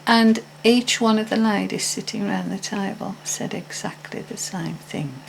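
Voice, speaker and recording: A woman's voice: mid-range voice, a little slow, vocal fry, slight background noise, rough recording. An older woman telling a personal story in a casual, hesitant, meandering way with frequent restarts. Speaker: vlogger.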